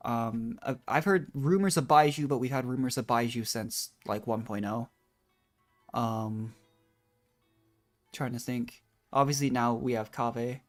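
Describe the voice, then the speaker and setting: A woman's voice: a bass voice, unhurried, long pauses, soft background music. A woman doing casual, rambling game stream commentary, musing aloud as she plays. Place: indoor livestream with game music behind.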